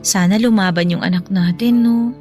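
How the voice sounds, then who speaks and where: An actress playing a supportive, affectionate wife with a Filipino accent in a conversational, tender radio drama style. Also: female voice, mid-range voice, moderate pace, darkish tone, soft background music, close to an everyday voice. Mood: worried. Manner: tender, encouraging. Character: supportive, affectionate working wife and young mother.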